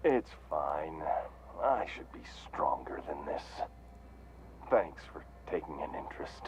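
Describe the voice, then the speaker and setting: A man's voice: low-pitched, very animated, unhurried, noisy background. An actor playing a corporate vendor in a mascot helmet in a video game, with forced salesman patter over weary resignation. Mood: weary, resigned. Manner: forced salesman cheer with slogans, slipping into resignation. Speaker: shop vendor.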